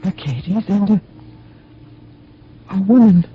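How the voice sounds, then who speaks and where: An actress playing a proud, anxious middle-aged mistress of a house in a radio drama, speaking formally and theatrically. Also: female voice, medium pitch, takes it slowly, lightly acted. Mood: tense, disbelieving. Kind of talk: dramatic radio storytelling and acting. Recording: dull vintage radio, music underneath.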